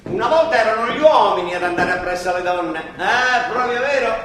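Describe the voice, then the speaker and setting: Wry Roman monsignor, echoing stage. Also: male voice, high-pitched, animated, a little fast, a bit bright. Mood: sardonic. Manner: sardonic, blustering. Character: wry, exasperated older Roman monsignor, male. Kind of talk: theatrical comic radio play acting. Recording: very echoey stage, distant mic, faint noise.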